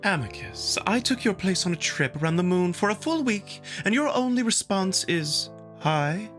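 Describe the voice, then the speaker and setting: A man's voice: sits high, lively intonation, normal speed, a bit bright, faint music behind, voice pushed up. A man voicing a haughty, playful young female wolf noble in a theatrical, sing-song visual novel reading. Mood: mock indignant.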